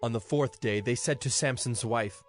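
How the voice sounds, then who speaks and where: A man's voice: mid-range voice, moderate pace. Male scripture narrator over music.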